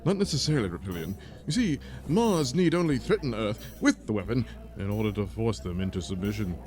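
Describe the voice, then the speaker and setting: A man's voice: lowish voice, expressive, brisk, over a noisy crowd, natural voice. A man playing a serious, resolute resistance commander giving briefings in a space-opera radio play. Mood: grave.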